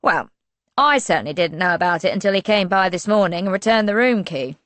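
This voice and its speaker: A woman's voice: fairly low pitch, expressive, a little fast, fairly bright tone, dry recording. A woman voicing a female office administrator who is wry, gossipy and a little defensive.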